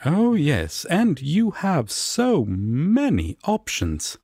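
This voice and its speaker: Casting: male actor as polite, tender angel roommate for comfort roleplay; breathy, slow, highly expressive intonation. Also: no room sound, clean studio sound, exaggerated voice. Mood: pleased, curious. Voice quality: soft, breathy. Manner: formal, courteous, tender, careful. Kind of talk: intimate roleplay audio acting.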